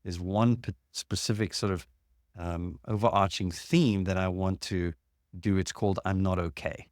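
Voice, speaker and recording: A man with a notably deep voice hosts a casual talk, explaining ideas step by step. He pauses often on fillers. The sound is dry, with no room echo. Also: fairly even intonation, a little mellow. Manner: measured, explanatory, with frequent fillers. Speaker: podcast host and clinician.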